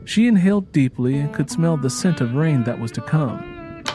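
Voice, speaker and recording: A man's voice: a little high, lively intonation, moderate pace, over music. A man reading dramatic scripted narration for an audio drama. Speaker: writer and narrator.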